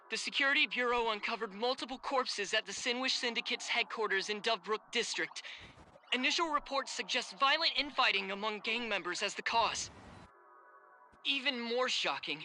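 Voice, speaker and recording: A dramatic game newscaster lady, super bright and high, faint noise behind. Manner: crisp, sensational announcing. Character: excitable, dramatic female TV news anchor. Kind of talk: broadcast news delivery.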